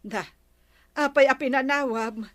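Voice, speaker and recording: A woman's voice: high-pitched, fairly even intonation, measured pace, fairly bright tone. A woman playing a nagging, questioning wife in an Ilocano radio comedy, scolding and probing with an Ilocano accent. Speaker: comedy drama actress. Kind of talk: Philippine radio comedy drama acting.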